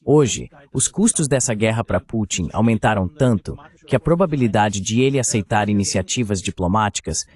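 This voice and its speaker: Guy with a fairly low voice, dubbed interview answers on politics. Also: a little mellow. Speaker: translated voice-over of a panel guest.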